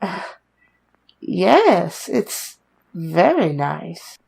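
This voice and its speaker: A woman acts out a meek, apologetic young woman of radio suspense, with a husky, creaky voice. She is hesitant and deferential, and her pitch moves around a great deal. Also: sing-song, very slow, darkish tone, heavily acted. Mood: hesitant, uneasy. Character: timid, polite, apologetic young woman starting a new job. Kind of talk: old-time radio suspense drama acting.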